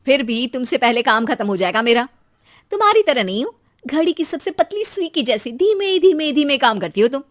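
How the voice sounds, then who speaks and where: A woman voicing a brisk, boastful young daughter-in-law in a cartoon story, teasing and impatient in manner. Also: high voice, very animated, voice pushed up. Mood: scornful. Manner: sharp, bragging, teasing. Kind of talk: cartoon moral story character voice.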